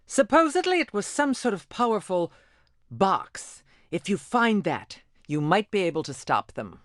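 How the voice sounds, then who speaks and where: A woman voicing a self-important, melodramatic gem informant in an animated game, pompous and showy. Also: mid-range voice, a little slow, no room sound, clean studio sound.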